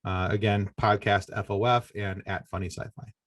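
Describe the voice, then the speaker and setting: A middle-aged man with a fairly low voice speaks as a podcast guest in a casual, informal way. The sound is dry and close, with little room around it. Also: little pitch movement, moderate pace, a bit bright, dry recording. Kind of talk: casual conversational interview.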